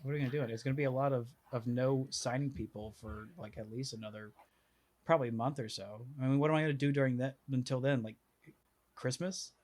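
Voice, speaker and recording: A man's voice: medium pitch, fairly even intonation, quick pace, a little mellow, a little hiss or hum. A man hosting a sports podcast, talking casually with lots of fillers and restarts. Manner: rambling, filler-heavy, restarting. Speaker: podcast host.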